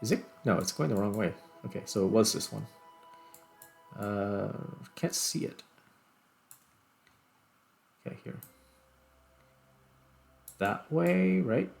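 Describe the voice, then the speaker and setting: A man giving casual gameplay commentary, thinking aloud in short, halting phrases. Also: fairly low pitch, big pitch swings, gravelly, faint music behind. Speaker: gaming video host.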